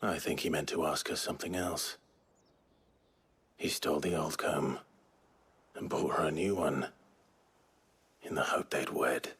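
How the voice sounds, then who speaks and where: An actor playing a brooding, courteous young warrior in a dramatic video game cutscene, restrained and grave, with a gravelly low voice. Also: male voice, measured pace, pauses often, a bit bright, exaggerated voice. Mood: somber.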